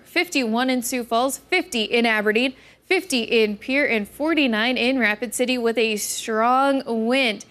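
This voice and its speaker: A woman's voice: fairly high pitch, a bit bright. An American woman presenting a broadcast weather forecast on television.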